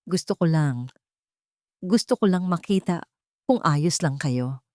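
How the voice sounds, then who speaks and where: Wealthy, determined woman played by a female storyteller, calm measured delivery, low voice, audio drama. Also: no echo, clean studio sound. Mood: gentle, reassuring. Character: wealthy, determined woman speaking gently but firmly.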